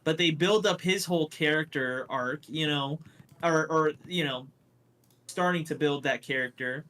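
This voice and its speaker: A man with a voice a little higher than average talks as a podcast co-host. His style is casual and conversational, full of blunt opinions and loose swearing. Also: normal speed, a bit bright. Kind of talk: casual pop culture chat.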